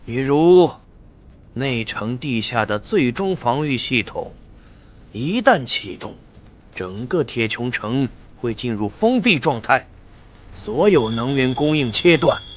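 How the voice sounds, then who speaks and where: A man's voice: medium pitch, a little hiss or hum. A man playing an arrogant conspirator official in a motion comic, haughty and threatening. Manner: haughty, menacing. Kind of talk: dramatic Chinese motion-comic voice acting.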